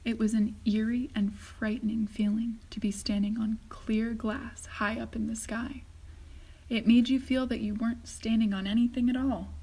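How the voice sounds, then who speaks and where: A woman narrates a children's story in an expressive, deliberate way. Her voice sits fairly high, with noticeable creak, like a teacher reading aloud. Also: poor audio.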